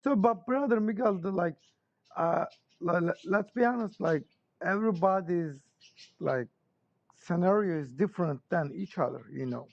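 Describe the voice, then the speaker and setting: A man with a Turkish accent chatting casually as a guest, blunt and informal in halting non-native English. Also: high voice, creaky, no echo, highs cut off. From Turkey. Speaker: podcast guest. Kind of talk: casual conversation.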